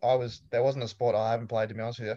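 A man's voice: medium pitch, little pitch movement, racing, mellow, muffled recording. A young Australian man in his twenties, chatting casually as an interviewee with a laid-back, storytelling manner and dry humor. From New South Wales, Australia. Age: 20s.

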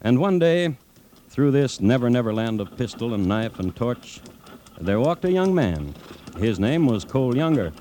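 An actor playing a dry, macabre true-crime host, narrating deliberately with wry pauses in an American accent on old-time radio. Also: male voice, mid-range voice, sing-song, mellow, slight background noise, natural voice. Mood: wry, grave. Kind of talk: 1950s radio scripted narration.

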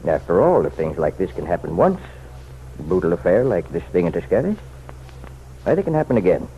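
A muffled, slightly hissy vintage radio recording carries a nosy small-town man with a deep voice. He is folksy and chummy on the surface, insinuating and persuasive underneath, and his pitch swings in a lively way. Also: brisk. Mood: warning, pressing. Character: insistent, insinuating townsman, nosy self-appointed helper to the sheriff. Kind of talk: old-time radio drama acting.

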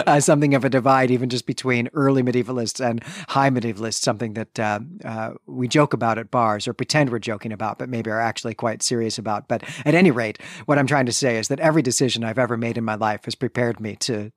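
A man's voice: mid-range voice, dry recording, clean studio sound. A man co-hosting a literary podcast, discussing a book in a scholarly but informal way. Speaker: podcast co-host, historian. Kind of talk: literary analysis discussion between co-hosts.

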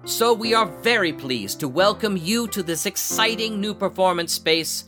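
A man's voice: high-pitched, expressive, moderate pace, bright, forceful tone, faint music behind. A man hosting a radio theater show, giving a formal, showman-like scripted introduction. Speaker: host.

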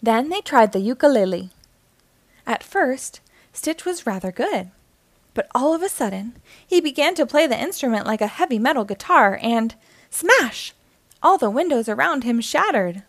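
A woman's voice: fairly high pitch, sing-song, normal speed, no echo. A woman reading a children's story aloud as scripted narration.

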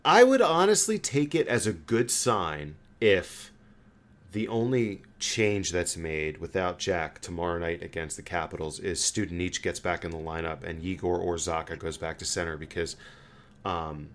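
Low-voiced man hosting a casual sports podcast. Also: expressive, normal speed, a bit bright. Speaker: podcast host.

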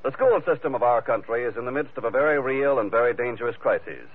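An American man announcing in formal, polished 1940s radio style with measured phrasing. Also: medium pitch, animated. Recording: tinny vintage radio broadcast, music behind.

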